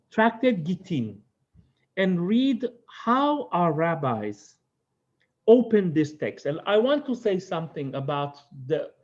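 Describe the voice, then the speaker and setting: A high-pitched man with an Israeli accent leads a study session, speaking earnestly and thoughtfully and pausing often. The recording sounds dull and lo-fi. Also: a little mellow. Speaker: teacher. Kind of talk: text study lecture.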